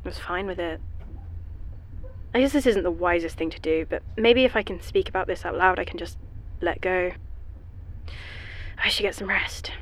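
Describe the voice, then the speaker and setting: An actress playing a shaken, self-deprecating scientist in an audio drama, speaking haltingly with dry, rambling humor. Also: female voice, on the low side, a little hiss or hum, close to an everyday voice, rough recording. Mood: wry, tired. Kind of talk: audio drama acting, diary monologue.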